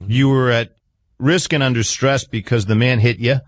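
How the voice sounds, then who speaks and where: A man with a mid-pitched voice leads a probing, therapy-style conversation. He is insistent, pressing his points and often cutting in. The sound is dry, with little room on it. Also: steady tone, moderate pace, no echo. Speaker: therapist interviewer.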